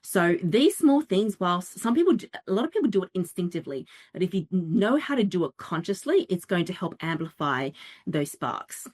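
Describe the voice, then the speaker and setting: A woman leads a dating workshop, chatty and lively, dropping quick asides and acting out little quotes as she explains. Her voice sits a touch low for a woman. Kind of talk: interactive workshop talk.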